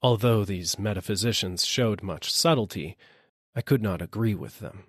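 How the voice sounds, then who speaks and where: A man's voice: lowish voice, moderate pace, breathy edge, no echo, studio quality. A man reading a literary story aloud as a scripted audiobook narration. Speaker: audiobook reader.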